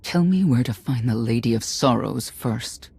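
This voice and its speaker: Very husky, low, hardened woman. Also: expressive, normal speed, darkish tone, heavily acted. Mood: quietly threatening. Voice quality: very husky, raspy. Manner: clipped, dry, intimidating. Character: hardened, menacing female underworld guide, coolly confident. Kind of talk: video game voice acting.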